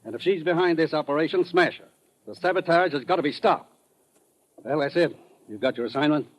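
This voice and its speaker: A man's voice: a little high, brisk, natural voice, low-quality recording. A man playing an authoritative American intelligence commissioner, a firm boss in a 1950s radio drama. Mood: grave, commanding.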